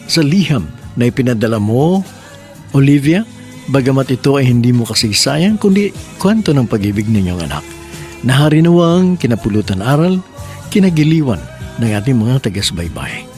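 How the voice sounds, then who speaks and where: A male radio drama host who reads and narrates a listener's letter, animated and emphatic. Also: mid-range voice, normal speed, soft background music.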